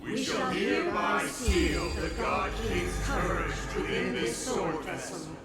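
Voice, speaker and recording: Echoing, high-voiced zealous priest. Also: male voice, animated, slow. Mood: solemn, proud. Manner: declamatory, ritual proclamation. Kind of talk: dramatic video game voice acting. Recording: cavernous echo under loud music. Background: loud game music.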